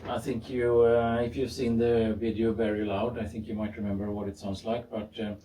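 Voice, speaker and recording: A man's voice: on the low side, little pitch movement, normal speed, background noise. A man presenting his own garage experiment in informal talk, thinking aloud hesitantly with a self-deprecating, amused touch. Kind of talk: informal explanatory video talk. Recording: voice far from the mic.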